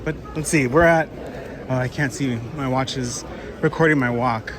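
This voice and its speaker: Slightly hoarse man vlogging on the street. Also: mid-range voice, steady tone, normal speed. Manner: rambling, thinking aloud with many fillers. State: slightly hoarse, coming down with a cold. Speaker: travel vlogger. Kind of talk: casual walking tour commentary. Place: outdoors on city streets. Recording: street noise behind the voice.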